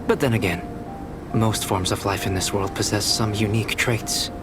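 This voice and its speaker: A man playing a low-voiced, composed young alchemist. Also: close to an everyday voice. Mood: composed, reflective. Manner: measured, formal, precise, cool. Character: calm, erudite young male alchemist, detached and analytical. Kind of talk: video game voice acting. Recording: music behind the voice.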